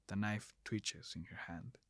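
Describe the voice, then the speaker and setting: A man's voice: on the low side, fairly even intonation, unhurried, fairly bright tone, no echo. A man delivering scripted, dramatic narration for a horror audio drama, close on the mic.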